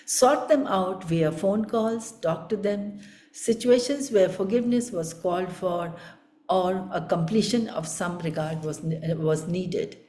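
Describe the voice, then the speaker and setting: Low, mellow Indian woman teacher, echoey room. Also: measured pace. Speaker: spiritual teacher. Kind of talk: spiritual discourse.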